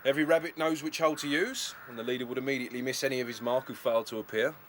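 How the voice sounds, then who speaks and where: A man's voice: medium pitch, steady tone, racing, a bit bright, a little hiss or hum, lightly acted. An actor playing an authoritative, matter-of-fact male rabbit captain in a radio drama. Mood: brisk, assured. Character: brisk male rabbit captain of regimented warren.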